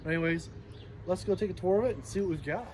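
An American man in his thirties gives a casual, folksy vlog tour. His voice sits a little higher than average. It is recorded outdoors on a handheld camera with light background noise. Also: quick pace. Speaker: vlog host. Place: outdoors in a residential yard. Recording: noisy outdoor action-camera audio.

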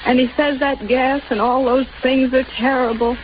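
A woman acting a weak, worried wartime mother in an old radio drama. She speaks in a high voice, tender and tearful, with halting phrases. The 1930s broadcast is muffled and very noisy. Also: fairly even intonation, lightly acted. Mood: anxious, pleading. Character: frail, starving, anxious mother of a young German soldier.

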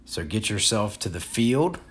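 A man in his forties giving a relaxed, plain-spoken disc golf lesson outdoors in an open field, recorded on a nearby camera. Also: lowish voice, measured pace, slightly rough. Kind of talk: outdoor instructional talk.

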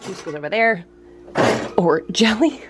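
A woman in her thirties chatting casually as she cleans her home on camera, self-deprecating and quick to laugh. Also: medium pitch, heavy background noise. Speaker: lifestyle vlogger. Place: kitchen and entryway of a house, indoors.

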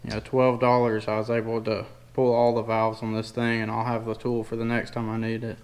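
Even-toned man giving a casual tutorial. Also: on the low side, brisk. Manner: plainspoken, informal, folksy wording. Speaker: DIY mechanic demonstrating a tool. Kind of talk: casual hands-on tutorial narration. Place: indoor garage workshop.

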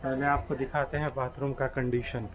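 A man's voice: mid-range voice, little pitch movement, normal speed. A middle-aged man chatting casually as he films a train journey from a station platform, narrating what he sees. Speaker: travel vlogger. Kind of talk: casual travel vlog commentary. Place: railway station platform and moving train, outdoors. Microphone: phone or action camera held close. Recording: loud station crowd and train noise. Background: crowd and train noise.

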